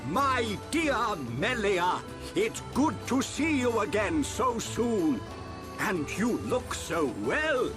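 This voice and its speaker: A kindly old creature chief from a video game. The voice is high and swooping, extremely slow in delivery, quaint and benevolent. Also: male voice, big pitch swings, over music, heavily acted. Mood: fond, welcoming. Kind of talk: video game cutscene acting.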